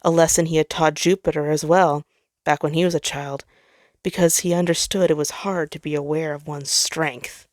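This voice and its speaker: Casting: female narrator for dramatic fan fiction, slightly low register, dry unechoed sound. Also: steady tone, normal speed, darkish tone, dry recording.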